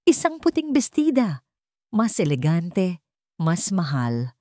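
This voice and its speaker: Low-pitched Filipino woman narrating a story. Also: very animated, takes it slowly, mellow, no echo, studio quality. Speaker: audiobook narrator. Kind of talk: scripted dramatic story narration.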